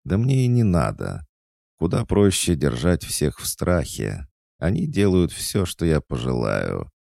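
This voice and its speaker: A haughty young noblewoman, as a man acts her out, sharp and disdainful, her temper never far away. His usually very deep, gravelly voice is pushed well upward for her, still dry and close. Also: wildly swooping pitch, measured pace, dry recording, heavily acted. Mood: cold.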